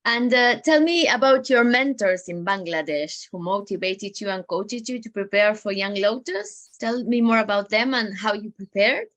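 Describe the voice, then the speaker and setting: A woman's voice: medium pitch, expressive, moderate pace, few pauses, fairly bright tone. A woman hosting an interview, asking questions in a conversational style. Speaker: interviewer, host.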